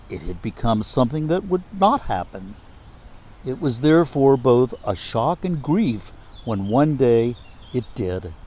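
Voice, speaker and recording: A man reading literary prose aloud as a storyteller, in measured, deliberate phrases with pauses. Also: medium pitch, dark tone. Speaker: reader of a literary myth.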